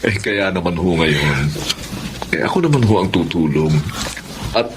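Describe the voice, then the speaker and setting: Courteous doctor over background noise. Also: male voice, sits low, animated, measured pace. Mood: warm, reassuring. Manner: polite, friendly, reassuring. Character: grateful, courteous male hospital doctor. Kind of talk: Tagalog radio drama acting.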